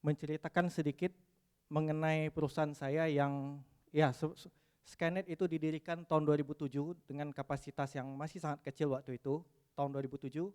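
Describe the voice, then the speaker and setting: A man from West Sumatra giving a technical conference presentation, pausing often with "eh" and dropping in English terms. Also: a little high, little pitch movement, darkish tone, clean tone. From West Sumatra, Indonesia. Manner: hesitant, frequent "eh" fillers, mixes in English terms.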